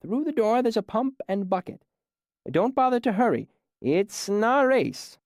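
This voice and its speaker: Old caretaker, weary yet patient and soothing, lifted high, dry close sound, voiced by a man. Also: big pitch swings, a little slow, dry recording, heavily acted. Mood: gentle. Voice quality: gentle, patient, tired at the edges.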